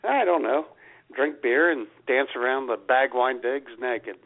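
A man's voice: mid-range voice, unhurried, creaky, narrow, tinny audio. A man co-hosting a comedy radio show in casual, bantering conversation.

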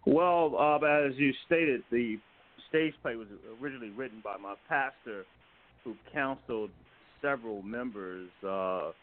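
An American man from Washington, D.C., a guest director giving measured, polite, somewhat hesitant interview answers. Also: medium pitch. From Washington, D.C., United States. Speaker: guest film and stage director. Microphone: telephone. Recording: tinny narrow phone line.

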